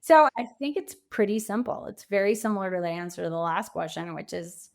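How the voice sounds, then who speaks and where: A woman with a medium-pitched voice answers as an interview guest. She talks conversationally and reflectively, setting off key phrases with deliberate pauses and emphasis. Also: expressive. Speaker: podcast guest, coach and author.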